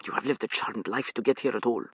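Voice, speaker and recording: A skeptical, exasperated man talks in quick, clipped bursts, as an audiobook reader puts him on. The voice stays close to the reader's own and is recorded dry and close. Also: medium pitch, slightly rough, no echo. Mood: brusque. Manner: sharp, rapid, exasperated.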